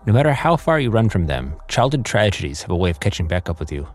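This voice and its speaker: A man's voice: fairly low pitch, very animated, fast talker. A man announcing a scripted horror podcast trailer in a dramatic narrating style. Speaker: promo announcer.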